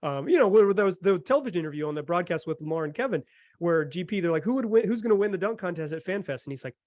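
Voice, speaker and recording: A man's voice: high-pitched, animated, rapid-fire, darkish tone, no echo. A man hosting a podcast, chatting casually and enthusiastically about sports with emphatic delivery. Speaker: podcast host.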